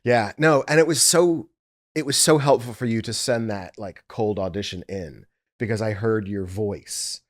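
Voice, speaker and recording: Low-voiced man chatting as a producer. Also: normal speed. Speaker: audiobook executive producer. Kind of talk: casual behind-the-scenes conversation.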